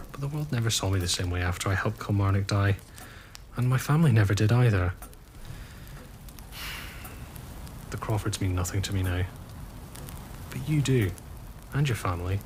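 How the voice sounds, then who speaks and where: A weary, disillusioned gentleman and former soldier, played by a male actor in a period audio drama. His voice is fairly low, measured and grave, with faint noise behind it. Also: close to an everyday voice, rough recording. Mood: bitter, resigned.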